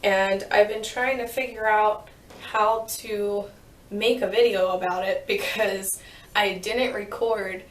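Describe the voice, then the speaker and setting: A woman's voice: medium pitch, fairly even intonation, slow, roomy sound, far from the mic. A woman with a sore, fading voice, explaining her home renovation casually and thinking aloud as she corrects herself. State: sore throat, losing her voice. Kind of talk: casual explanatory talk to camera.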